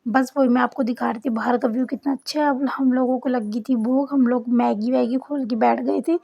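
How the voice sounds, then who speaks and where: A young woman with a sore, hoarse throat, chatting to her viewers in a voice-over for her travel vlog. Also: fairly high pitch, steady tone, a little fast, warm tone. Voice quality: husky, cracked voice. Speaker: vlogger. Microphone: voice-over recorded separately.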